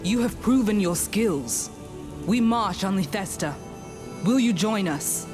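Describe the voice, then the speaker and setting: A woman playing a stern, proud rebel leader in a fantasy game, deliberate and authoritative. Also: mid-range voice, lively intonation. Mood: resolute. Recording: loud music behind.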